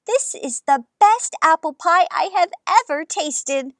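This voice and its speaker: A woman voicing a lively, doting grandmother from a storybook in an emphatic, exclaiming manner. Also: very high-pitched, a little slow, no echo, studio quality, voice pushed up, full character voice. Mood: delighted.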